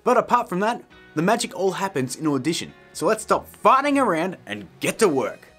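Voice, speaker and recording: Animated, slightly husky man hosting a tutorial. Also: high-pitched, a little fast, faint music behind. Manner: jokey, emphatic, theatrical. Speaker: tutorial host. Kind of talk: casual, scripted video tutorial.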